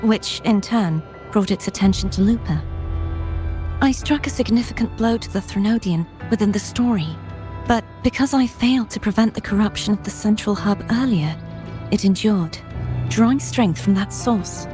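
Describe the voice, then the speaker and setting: An actress playing a solemn, courteous mystical warrior woman in a video game, speaking in a formal, measured, grave way. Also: mid-range voice, dark tone, close to an everyday voice. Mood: grave, composed. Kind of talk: scripted game dialogue. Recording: studio voice under prominent game music.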